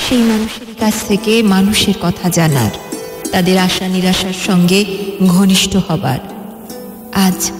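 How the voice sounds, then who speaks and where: A woman's voice: mid-range voice, natural voice. A woman playing an ageless, curious and compassionate spirit of time in an audio drama, speaking with dramatic wonder. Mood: solemn, curious. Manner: wondering, dramatic, measured delivery. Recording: echoey hall-like reverb under music.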